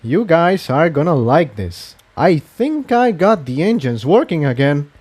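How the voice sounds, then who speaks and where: Male reader as male unicorn tinkerer, animated intonation, confident, explanatory, reassuring, adventure story. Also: a little high, a little slow, pitched above the performer's own voice. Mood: pleased.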